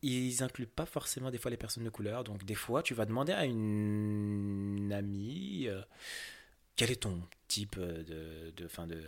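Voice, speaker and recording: A young man from France speaks in a low, unhurried voice, answering questions in an interview. He hesitates often, stacking fillers and restarting his sentences as he gropes for the right words. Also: expressive.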